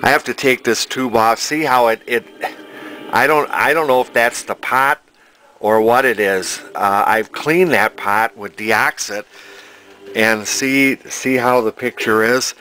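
A man's voice: mid-range voice, unhurried, pushed and bright, poor audio. A man narrating a casual repair vlog as a vintage television restorer, thinking aloud in a hesitant, rambling way in his home workshop.

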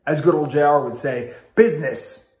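A man's voice: fairly high pitch, moderate pace, a little mellow. A man in his thirties, congested, giving a chatty, enthusiastic video review to the camera in a small room at home. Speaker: ice cream reviewer. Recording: roomy home recording.

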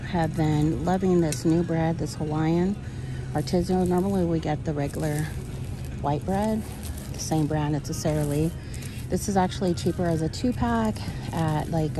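A woman's voice: on the low side, background noise. A woman filming her grocery shopping in a supermarket, talking casually to viewers about sale prices. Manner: chatty, practical, addresses viewers as y'all. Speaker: grocery haul vlogger. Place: supermarket aisles. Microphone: handheld phone camera. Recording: music playing in the store. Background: store background music.

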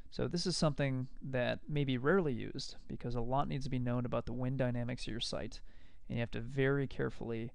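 A man giving a measured, explanatory recorded lecture over slides. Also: medium pitch, vocal fry, no room sound.

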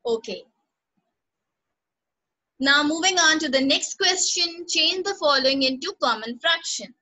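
A high, bright-voiced woman teaches an online class. She praises students by name and repeats each instruction patiently. Her voice comes through a computer microphone on a call. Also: lively intonation, unhurried, low-quality recording. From Pakistan.

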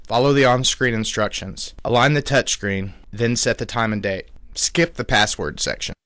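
A man giving a scripted voice-over with calm, measured step-by-step instructions for resetting a handheld device. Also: medium pitch, no echo. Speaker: product tutorial narrator.

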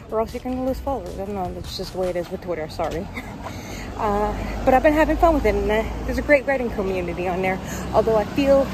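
A woman's voice: mid-range voice, poor audio. A young woman talking casually and informally to the camera as she walks along city streets, with jokey asides. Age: young adult. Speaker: vlogger and author. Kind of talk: casual walk-and-talk vlog. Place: outdoors on city streets, walking.

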